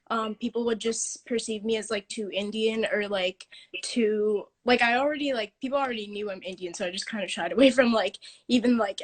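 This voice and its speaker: A teenage girl answering questions as a dance student on an online live chat, thoughtful and full of fillers. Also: fairly high pitch. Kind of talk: casual interview answers.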